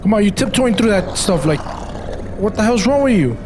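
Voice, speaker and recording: A man's voice: high-pitched, animated, moderate pace, music in the background. A man giving casual, slangy gameplay commentary, blunt and often repeating short phrases. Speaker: video game streamer.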